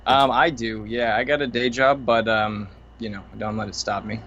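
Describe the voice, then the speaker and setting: A man's voice: medium pitch, steady tone, normal speed, muffled recording, background noise. A man from Maine, a music producer guest chatting casually and easygoingly with plenty of fillers. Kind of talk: casual chat.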